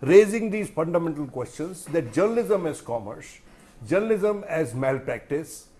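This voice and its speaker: A middle-aged man with an Indian accent speaking as a journalist on a discussion panel, deliberate and emphatic, often repeating phrases. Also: on the high side, lively intonation, mellow.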